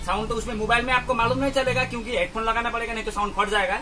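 A man's voice: very high-pitched, fairly even intonation, rapid-fire, sharp tone, soft background music. A man in his thirties from India giving a casual product review to camera, explaining and often checking that viewers follow. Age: 30s. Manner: explanatory, repeatedly checking with catchphrases like a tag question. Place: small cluttered sound-equipment shop, indoors. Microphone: camera or phone mic a short distance away. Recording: noisy shop recording, distant mic.

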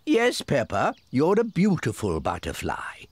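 A man's voice: fairly high pitch, wildly swooping pitch, a little slow, background noise. A man voicing a kindly, playful old grandpa pig in a cartoon, chuckling as he talks. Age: older. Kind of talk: cartoon voice acting.

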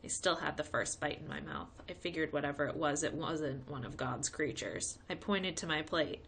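Bright-voiced woman reading a storybook aloud. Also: low voice, normal speed, creaky, slight background noise. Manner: expressive reading. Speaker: teacher reading a chapter book aloud. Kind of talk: read-aloud storytelling for children.

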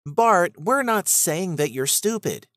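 A man's voice: high-pitched, expressive, takes it slowly, fairly bright tone, dry recording, studio quality, voice pushed up, exaggerated voice. A man voicing an earnest female rabbit, emphatic and admonishing, in a children's storybook. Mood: placating.

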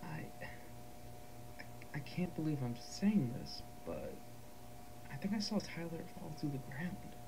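A man playing a frightened, disbelieving boy witness in an analog horror drama, speaking hesitantly and in a hushed way. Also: on the high side, huge pitch swings, unhurried, pauses often, background noise, exaggerated voice. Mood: hesitant, fearful. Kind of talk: analog horror found-footage acting.

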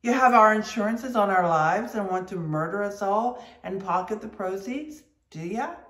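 A reader voicing a boisterous, mocking whaling mate who rants loudly in indignation. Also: medium pitch, expressive, measured pace, echoey room, natural voice. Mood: indignant. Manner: loud, mocking, indignant outbursts. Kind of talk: audiobook character reading.

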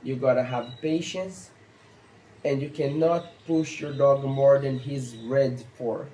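A man in his thirties with a Brazilian accent, a stock dog trainer explaining training basics in halting, earnest English, seated on an outdoor patio. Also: mid-range voice, fairly even intonation, mellow, reverberant, poor audio. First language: Portuguese. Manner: halting, earnest, non-native phrasing with fillers. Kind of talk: instructional talk to camera.

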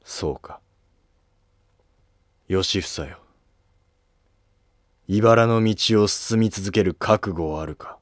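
Man acting grave, low-voiced aging court minister. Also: no echo, studio quality. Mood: solemn, testing. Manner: grave, deliberate, questioning. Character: aging, probing court minister near the end of life. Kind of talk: historical drama dialogue.

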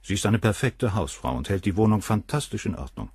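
A cold, cynical famous surgeon. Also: male voice, on the low side, big pitch swings, brisk, a little mellow, breathy edge, no echo, clean studio sound, full character voice. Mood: cool. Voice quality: cool, cynical, dismissive. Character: cold arrogant famous male surgeon, middle-aged. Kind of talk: radio play acting.

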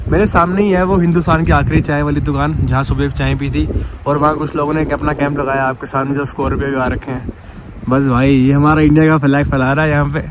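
Young man vlogging, noisy outdoors. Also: a little high. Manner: informal, bro-peppered talk. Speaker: solo travel vlogger. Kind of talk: casual chat to camera. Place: outdoors at a mountain campsite.

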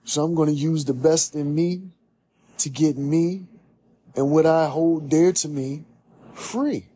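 Young male host, solo monologue: earnest, preachy, husky and mellow. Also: fairly high pitch, slow pace. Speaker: podcast host. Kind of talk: reflective monologue. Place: small room indoors.

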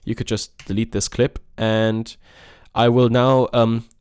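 A man hosting a software tutorial in a casual, offhand, informal way. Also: medium pitch, flat delivery, slow, clear, resonant tone, no room sound. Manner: informal, offhand, mildly sweary. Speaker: music software tutorial host. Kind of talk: casual screen-recorded tutorial.

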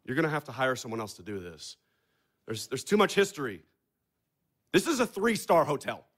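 A man's voice: mid-range voice, big pitch swings, brisk. An actor playing a blunt, sardonic American money manager in his thirties, dry and needling, in a naturalistic stage play. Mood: defensive, sardonic. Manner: dry, needling, matter-of-fact.

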